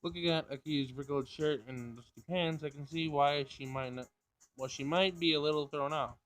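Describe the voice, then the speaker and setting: A man's voice: a little high, normal speed, a bit bright. A man reading visual novel narration aloud, sometimes stumbling over the text and rereading it.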